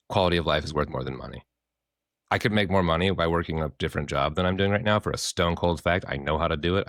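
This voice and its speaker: A man with a very deep, hoarse voice rattles through his thoughts at a quick clip. He's a Midwestern therapist on a podcast, expressive and rambling, dropping swear words freely. The sound is dry and close to the mic. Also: no echo. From American Midwest. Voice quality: hoarse from screaming. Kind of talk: casual podcast chat.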